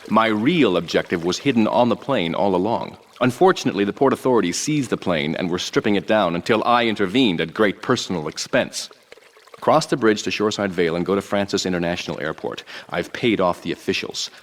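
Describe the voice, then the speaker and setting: A man voicing a suave, scheming rich tycoon who speaks in a calm, polished, dryly ironic way. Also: lowish voice, a little fast, close to an everyday voice. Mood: smooth.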